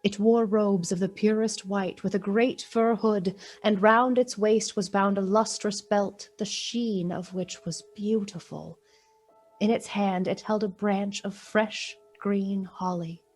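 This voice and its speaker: A woman playing the storyteller of a Victorian ghost tale, with measured, literary, expressive delivery in a radio play. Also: medium pitch, faint music behind. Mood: measured, wondering. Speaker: narrator.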